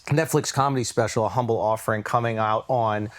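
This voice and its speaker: A man's voice: on the low side, steady tone, a little fast. Brash male podcast host bantering. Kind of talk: casual comedic banter.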